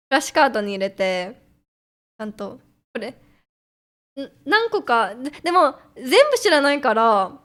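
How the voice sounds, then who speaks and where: A woman in her twenties, a native Japanese speaker, chatting casually to livestream viewers in a giggly, rambling, self-deprecating way. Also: fairly high pitch. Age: 20s.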